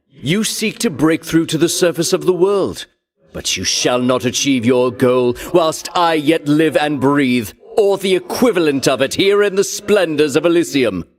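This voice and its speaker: Self-righteous male champion, fairly high voice, pompous, theatrical, archaic declaiming, haughty heroic video game character. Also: a bit bright.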